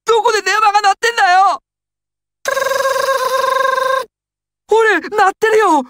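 A woman's voice: extremely high pitch, fast, background noise. A woman voicing a nervous, stammering young male gangster in anime style, servile yet volatile. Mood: furious, frantic. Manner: stammering, swings from timid to explosive. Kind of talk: anime and game dramatic acting.

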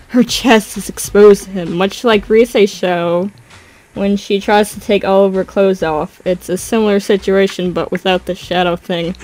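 A woman's voice: mid-range voice, low-quality recording. A young adult woman doing a joking dramatic reading, narrating with irreverent asides. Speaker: co-reader and narrator.

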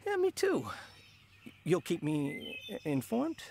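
A man's voice: sits high, wildly swooping pitch, slow, vocal fry, a little mellow, a little hiss or hum, heavily acted. An actor playing a passionate, jealous, temperamental teenage boy in a radio drama. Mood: awkward.

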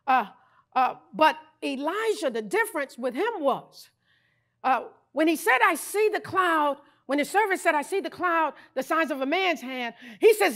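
Woman pastor, middle-aged, high voice, bright tone, fiery sermon with shouts, handheld mic on a church stage. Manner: animated, preaching with bursts of shouting. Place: large church stage, indoors.

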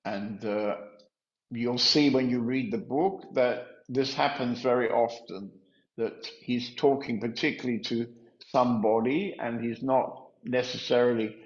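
An older man, a spiritual teacher, explaining in a measured, gently halting way on a video call. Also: medium pitch, steady tone. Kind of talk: informal teaching and chat. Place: room at home, indoors. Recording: echoey room, dull lo-fi sound.

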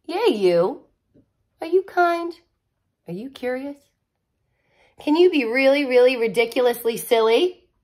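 A woman voicing an excitable, curious little girl from a picture book, eagerly exclaiming and asking questions. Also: high voice, unhurried, pauses often.

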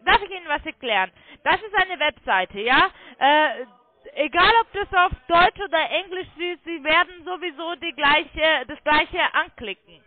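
High-pitched woman on a phone line. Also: lively intonation, a little slow, dry recording. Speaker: phone sales agent claiming to be technical support. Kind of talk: phone sales call, step-by-step instructions.

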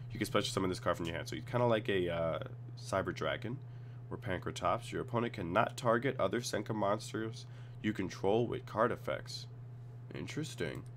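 A man with a cold and a slightly raspy voice, casually reading card text aloud and reacting as he reviews cards at his computer. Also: fairly low pitch, a little hiss or hum. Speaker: card game tutorial host.

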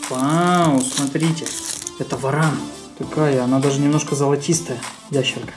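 A man talks a child through a toy unboxing. He explains things in simple terms and keeps prompting with questions. Music plays clearly behind him. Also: mid-range voice, fairly even intonation, normal speed. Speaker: co-host of a children's toy video. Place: small room at home.

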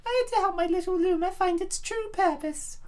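A woman voicing a gentle motherly princess who tenderly narrates her story in a comic dub. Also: extremely high pitch, brisk. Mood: tender, wistful.

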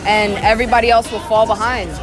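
A woman's voice: fairly high pitch, little pitch movement, fast talker. A young woman giving earnest, casual testimony in an interview on a busy city street. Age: young adult. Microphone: handheld phone. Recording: loud music, crowd and traffic.

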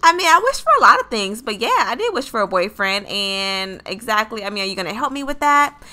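A woman playing a sassy, boy-crazy young woman in an improvised roleplay story, with slangy, expressive girl talk. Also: medium pitch, a little fast, pitched below the performer's own voice. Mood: excited.

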